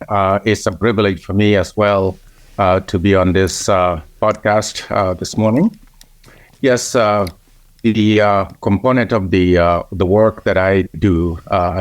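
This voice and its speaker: A middle-aged man from Kenya, speaking as an interview guest in a measured way with frequent pauses. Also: deep voice, no room sound. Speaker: interview guest, organization president. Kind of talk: conversational interview.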